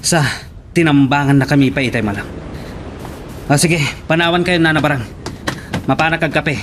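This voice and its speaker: A man's voice: fairly high pitch, moderate pace, fairly bright tone, lightly acted. A man with an Ilocano accent playing a loyal, alert hacienda henchman in a radio drama. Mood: earnest, vigilant. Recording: noisy radio drama recording.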